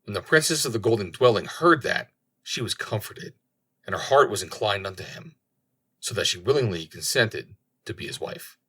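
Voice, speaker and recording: A man reading a fairy tale as an audiobook narrator, with deliberate, dramatic storytelling. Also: on the low side, lively intonation, sharp tone, slightly rough.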